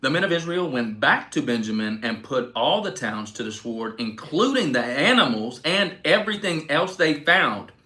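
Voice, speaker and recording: A man reading scripture aloud in an expressive, declamatory way, giving dramatic weight to quoted speech. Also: medium pitch, normal speed, few pauses. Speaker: reader.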